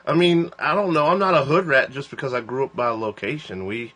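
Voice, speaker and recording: A man in his forties hosting a casual joking livestream chat, rambling and laughing as he talks. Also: fairly quick. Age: 40s. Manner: rambling, laughing, lively swings in pitch. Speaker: host of a livestream. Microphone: phone.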